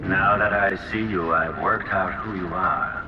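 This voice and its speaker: An actor playing a haughty, eccentric genius inventor villain in a video game, theatrical, grandiose and condescending, coolly menacing. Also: male voice, low voice, measured pace, muffled recording, noisy background. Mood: amused, intrigued. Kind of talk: dramatic video game voice acting. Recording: game music over the voice.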